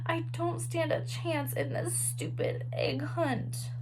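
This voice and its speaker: Storybook kindergarten girl, acted by a woman: high, childish, blunt, exclamatory, pestering; noisy recording. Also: steady tone, slow. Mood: grumbling. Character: excitable, blunt little kindergarten girl narrator-heroine.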